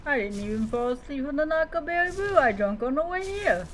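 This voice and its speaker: A woman's voice: high voice, expressive, a little fast, slight background noise. A woman doing live in-character gameplay commentary, talking playfully to creatures with mock-heroic taunts. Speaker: game streamer playing a roleplay survival game.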